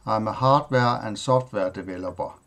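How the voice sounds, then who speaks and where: A man whose first language is Danish, hosting a tech tutorial and walking through his computer setup in a plain, halting way, listing items with pauses and fillers. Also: lowish voice, fairly bright tone. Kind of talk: screen-recorded software walkthrough.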